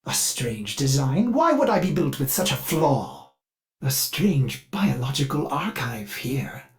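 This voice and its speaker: Swoopy, fussy, servile ship computer. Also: male voice, mid-range voice, big pitch swings. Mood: disgusted, wary. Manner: overly polite, theatrical, servile, halting. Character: fussy, eager-to-please artificial mind of a spaceship. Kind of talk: video game character dialogue.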